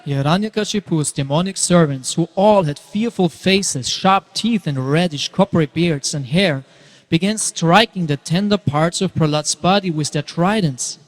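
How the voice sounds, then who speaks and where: A long reverb follows this male narrator's somewhat high voice. He reads a scripted sacred story aloud, shaping each phrase with deliberate, storytelling emphasis. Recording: reverberant hall.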